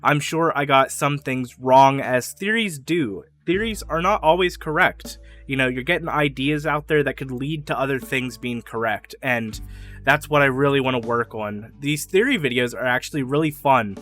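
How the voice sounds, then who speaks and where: A young man talks through fan theories on his own channel, loose and rambling, with a bright, forward tone. His voice is getting a little hoarse from talking so long. Soft guitar plays faintly behind him. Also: mid-range voice, normal speed. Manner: rambling, enthusiastic, with fillers and small laughs. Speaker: YouTube theory channel host. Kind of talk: casual solo theory talk to camera.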